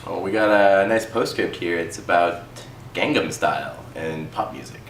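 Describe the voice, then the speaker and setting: A young man co-hosting casual satirical banter with a deadpan, ironic manner. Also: sits low, moderate pace. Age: young adult. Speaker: co-host of a campus humour paper's show. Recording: roomy, distant mic, faint noise.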